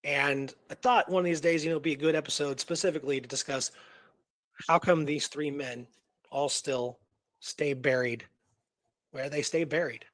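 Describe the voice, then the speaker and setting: A man's voice: fairly high pitch, fairly even intonation, moderate pace, a bit bright, no echo. A man co-hosting a history show in casual, conversational discussion, often dropping in "you know".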